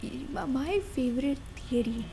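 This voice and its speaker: An excited young girlfriend, played by a woman, chats in a fairly high voice with an Indian accent. She rambles eagerly in breathy half-whispers for an ASMR roleplay, with clear noise behind her. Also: normal speed. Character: excited girlfriend gushing about game lore to partner. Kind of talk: ASMR roleplay, soft casual chat in Hinglish. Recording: noticeable background noise under voice. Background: ambient noise, video game playing.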